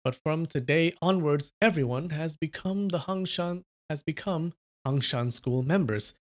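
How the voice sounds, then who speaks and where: A man voicing a calm, authoritative male leader of a martial arts school, measured and formal, in a story. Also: on the high side, close to an everyday voice. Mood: announcing.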